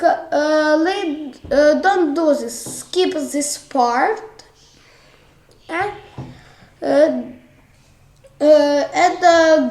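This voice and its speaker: A woman from Ukraine with a Ukrainian accent and a childish-sounding voice, talking through a software tutorial in a hesitant, halting way with many fillers. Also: sits high. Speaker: tutorial host. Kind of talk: screen-recorded software tutorial. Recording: echoey room.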